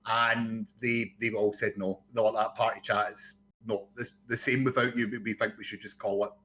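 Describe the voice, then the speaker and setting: A man's voice: fairly low pitch, moderate pace, poor audio. A man, a guest on a podcast, telling stories candidly and easily. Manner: relaxed, candid storytelling with fillers. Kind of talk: casual podcast chat.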